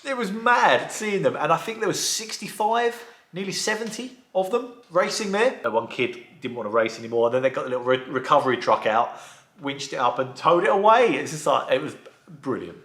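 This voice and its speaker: A man who restores classic cars, chatting casually in a vlog, with a croaky voice from a sore throat. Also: on the high side, sing-song, fairly quick, a bit bright, reverberant. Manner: chatty, rambling, enthusiastic. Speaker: vlogger and car restorer.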